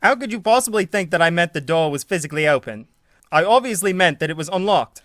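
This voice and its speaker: A man's voice: high-pitched, animated, fast talker, a bit bright, dry recording, studio quality. An actor playing a fussy, literal-minded young fiancé who is defensive about his word choices. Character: pedantic young man, the client's fiancé.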